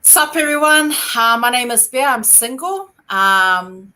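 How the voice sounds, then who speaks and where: A woman, a guest on a casual podcast, her voice high and bright. She jokes around and talks easily about herself. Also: expressive, a little slow. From New Zealand. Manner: playful, joking.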